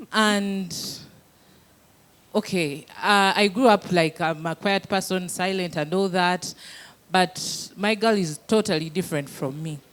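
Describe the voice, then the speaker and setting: A woman's voice: lowish voice, measured pace, fairly bright tone. A woman speaking casually as an audience member, feeling her way in short, hesitant phrases through a personal question.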